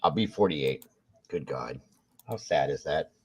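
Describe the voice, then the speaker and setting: A man's voice: rumbling low, expressive, a little slow, darkish tone, husky. A man in his forties chatting casually on a livestream, reading out viewer comments and musing aloud. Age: 40s. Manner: reads out chat, chatty and musing. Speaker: livestream co-host. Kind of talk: casual live chat. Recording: dull lo-fi audio.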